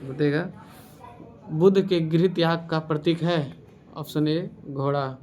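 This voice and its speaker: Flat-toned man reading quiz questions. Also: on the high side, takes it slowly, slight background noise. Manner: instructional, repeats answers. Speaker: quiz teacher for exam preparation.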